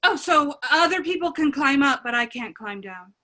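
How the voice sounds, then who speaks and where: A woman plays a lonely young tower princess in a radio play. The voice is very high and bright, with a modern, conversational delivery that keeps questioning things. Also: lively intonation, normal speed. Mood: curious, exasperated. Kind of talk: irreverent radio play acting.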